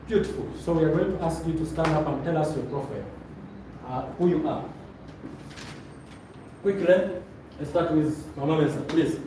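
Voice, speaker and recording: Zambian man, fairly high-voiced, directive course trainer addressing a room; very echoey. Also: normal speed, long pauses. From Luapula, Zambia. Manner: directive, checks in with listeners, repeats phrases. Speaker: trainer leading a presentation course. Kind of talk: motivational seminar instruction to a live room. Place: indoor training room with stage. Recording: very echoey room, distant mic, noisy.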